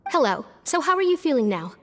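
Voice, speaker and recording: Prim, proper female infirmary helper: high, animated voice for a game dub, long hall reverb. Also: fairly quick. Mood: calm, polite. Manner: polite, proper, lecturing. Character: prim, earnest female prisoner helping as nurse. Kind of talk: English game dub acting.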